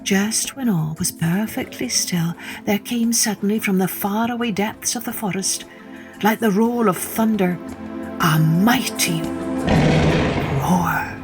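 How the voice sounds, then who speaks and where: A woman narrating a children's story as a podcast storyteller, dramatic and savoring each word with deliberate pauses. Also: medium pitch, over music.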